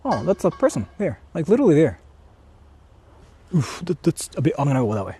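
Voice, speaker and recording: Hushed, husky-voiced man. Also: a little high, wildly swooping pitch, a little fast. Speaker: vlogger streaming while exploring. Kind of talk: casual livestream chat, answering viewers. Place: outdoors walking through an empty housing estate.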